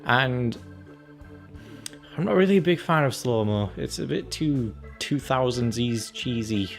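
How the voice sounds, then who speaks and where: A man giving a casual, chatty trailer review as a channel host, acting out his reactions as he goes. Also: fairly low pitch. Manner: chatty, acts out reactions and quotes.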